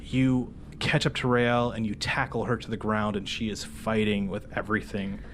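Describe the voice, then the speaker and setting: A man's voice: lowish voice, little pitch movement, moderate pace, background noise. A man speaking as game master, casually improvising narration and rules calls for a tabletop game.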